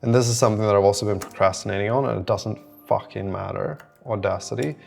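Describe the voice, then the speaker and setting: Male vlogger, low gravelly voice, casual unscripted rambling, music underneath. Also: fast talker, a little mellow. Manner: rambling, thinking aloud. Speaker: solo app developer vlogger. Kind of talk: unscripted casual vlog monologue.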